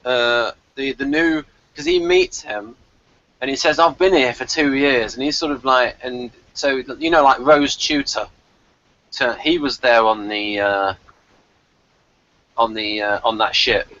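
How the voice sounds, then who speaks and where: A man's voice: medium pitch, measured pace, sharp tone, muffled recording. A man in a casual review discussion, stammering and repeating his words as he makes his points. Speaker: podcast reviewer.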